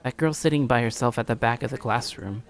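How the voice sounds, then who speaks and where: A man's voice: medium pitch, quick pace. A man in a casual let's play style, reading a visual novel aloud informally and stumbling now and then. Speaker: gaming streamer.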